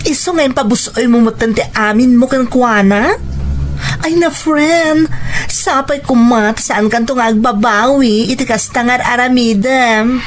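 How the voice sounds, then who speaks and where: A woman's voice: on the high side, unhurried, lightly acted. A woman playing a blunt, protective young friend in a scripted audio drama. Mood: skeptical, warning.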